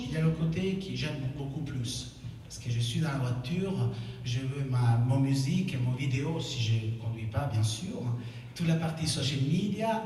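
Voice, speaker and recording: A man with an Italian accent giving a conference talk, halting and correcting himself. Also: a little high, a little mellow, a little hiss or hum. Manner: halting, self-correcting, with non-native phrasing. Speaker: conference speaker. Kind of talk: conference presentation. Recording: echoey hall, speaker far from mic.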